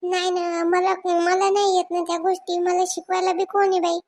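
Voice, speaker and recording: Cartoon housemaid: tiny, extremely high voice, naive, eager to please, rustic Varhadi Marathi. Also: female voice, level tone, normal speed, a little mellow. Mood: helpless, protesting. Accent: Varhadi Marathi, rural Maharashtra. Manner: deferential, naive, colloquial. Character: simple, eager, poor village housemaid woman. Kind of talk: Marathi cartoon comedy skit.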